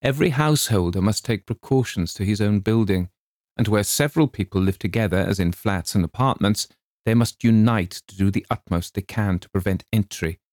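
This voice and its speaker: Man playing a grave, deep radio announcer. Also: a little mellow, no room sound, studio quality, lightly acted. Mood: grave, official. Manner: formal, stern, deliberate. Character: grave male radio announcer reading an emergency bulletin.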